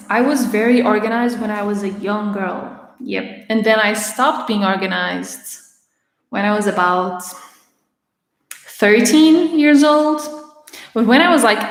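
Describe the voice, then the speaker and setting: Raspy-voiced young woman in echoey room. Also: medium pitch. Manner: chatty, with frequent restarts and laughter. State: having trouble speaking. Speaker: livestream host answering viewer questions. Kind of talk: casual Q&A livestream. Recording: roomy echo with long reverb.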